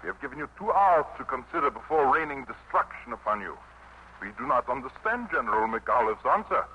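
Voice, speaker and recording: A man's voice: mid-range voice, big pitch swings, brisk, natural voice. A man playing a stiff Nazi officer in a 1950s radio drama, speaking formally with a German accent. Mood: stern. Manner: formal, clipped. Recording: muffled noisy old radio broadcast.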